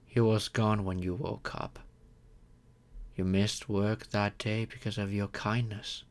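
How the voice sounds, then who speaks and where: Slow, low-voiced gentleman vampire, played by a man. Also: little pitch movement. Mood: solemn storytelling. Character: wealthy male vampire, gentle, grateful, courteous and doting. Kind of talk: slow intimate ASMR roleplay monologue.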